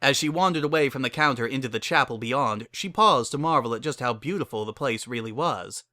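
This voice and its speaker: A man narrates a story as a dramatic reading. His voice is bright and mid-pitched, recorded very dry and close. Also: brisk, no room sound. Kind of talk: dramatic reading of fan fiction.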